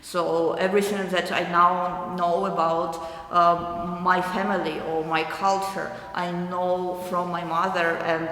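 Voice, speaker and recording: A young adult woman from eastern Ukraine with a Ukrainian accent, answering interview questions thoughtfully with many hesitant pauses. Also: fairly low pitch, level tone, a bit bright, slight background noise. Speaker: artist interviewee. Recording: roomy hall with long echo.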